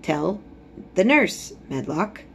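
A woman's voice: fairly low pitch, very animated, slow, gravelly, fairly bright tone, a little hiss or hum, exaggerated voice. A woman voicing an imperious, self-assured sickly ten-year-old boy in a storybook, giving orders in a commanding, unbothered way. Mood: insistent.

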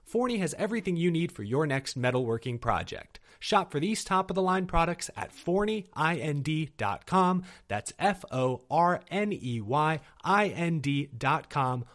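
A man's voice: on the high side, animated, moderate pace, hardly any pauses. A man reading a scripted advertisement as an announcer.

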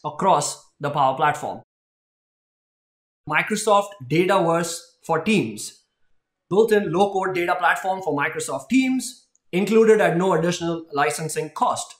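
High-voiced man presenting in a roomy space. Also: a bit bright. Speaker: tech presenter. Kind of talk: explanatory product announcement presentation. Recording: roomy indoor echo.

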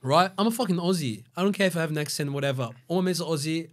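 Male guest, 40s, lively slangy storyteller, studio podcast mic. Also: fairly high pitch, rapid-fire. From Brazil. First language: Portuguese. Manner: animated, slangy, restarts and repeats words. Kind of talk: casual interview storytelling. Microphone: studio mic on boom arm.

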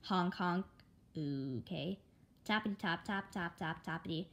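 A woman's voice: lowish voice, a little slow. A woman reading a comic story aloud, acting out the dialogue and speaking the sound words. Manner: animated, acts out characters' dialogue.

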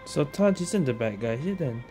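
Male gamer commenting over loud music. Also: fairly high pitch, animated, normal speed. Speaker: gamer recording a playthrough. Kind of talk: casual gameplay commentary. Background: loud game music.

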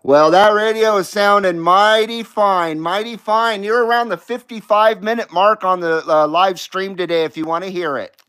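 A man's voice: sits high, fairly even intonation, moderate pace, hardly any pauses, a bit bright, clear, resonant tone. A man hosting as a CB radio operator, with showy, hearty on-air patter and repeated catchphrases. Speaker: CB radio operator and live stream host.

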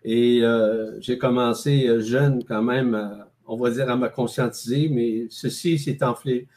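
An older man with a Québécois French accent speaks over a video call from his home. His voice is mellow and dark, and he talks in a measured way, pausing often to find his words. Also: mid-range voice, fairly even intonation. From Quebec City, Canada. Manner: slow, measured, many hesitations. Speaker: guest. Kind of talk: spiritual interview talk. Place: home room with plants.

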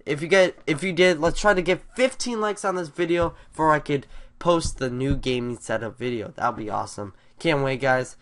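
A man's voice: a little high, a little fast, a bit bright. A young man with a stuffy, congested voice, rambling eagerly to his viewers in a casual vlog at his computer. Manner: rambling, filler-heavy, eager. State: congested from a cold. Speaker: YouTuber. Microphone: desktop USB mic over screen recording.

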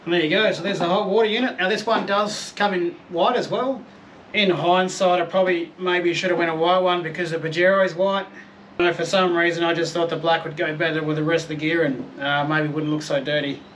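A man's voice: fairly high pitch, steady tone, a little fast, fairly bright tone, a little hiss or hum, poor audio. Laid-back Australian man reviewing gear. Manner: laid-back, chatty, self-deprecating, swears mildly. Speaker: camping vlogger. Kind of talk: casual product review and unboxing. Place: outdoor patio, then a garage.

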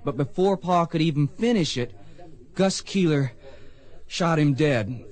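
A man's voice: on the high side, expressive, unhurried, a crowd in the background. An actor playing an earnest, plain-spoken blind young man in a western radio drama. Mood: sorrowful, earnest. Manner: plain-spoken, polite, emotional. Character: earnest blind youth of the Old West, grieving son.

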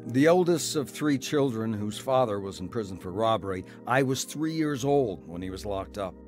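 A man's voice: fairly low pitch, animated, normal speed, darkish tone, soft background music, natural voice. An actor playing a wounded man who plainly and reflectively recalls his troubled farm boyhood in a radio drama testimony. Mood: reflective, hurt.

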